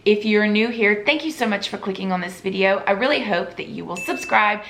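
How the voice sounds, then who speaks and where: A young woman with a drawl talks to camera in a casual, chatty way. Her voice is slightly hoarse, as if she is losing it, and she speaks with earnest, pleading sincerity, addressing viewers like close friends. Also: medium pitch, a little fast, a bit bright, a little hiss or hum. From Florida. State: losing her voice, sore throat. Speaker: YouTube vlogger.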